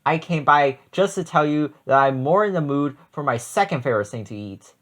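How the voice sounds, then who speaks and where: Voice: medium pitch, animated, a little fast, lightly acted. A storybook reader voicing an always-hungry, mannerly chupacabra monster. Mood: hungry, polite. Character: chupacabra, always-hungry monster who likes goats' snacks.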